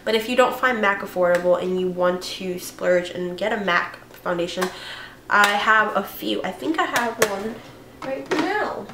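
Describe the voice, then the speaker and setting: A young woman with a hoarse voice from a cold, chatting casually through a makeup tutorial with fillers and self-corrections. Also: mid-range voice, unhurried, echoey room, heavy background noise. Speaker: beauty vlogger.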